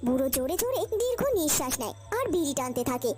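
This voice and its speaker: A woman's voice: high voice, sing-song, very fast, darkish tone, faint music behind. A woman narrator telling a cartoon story in a dramatic, scripted storytelling style.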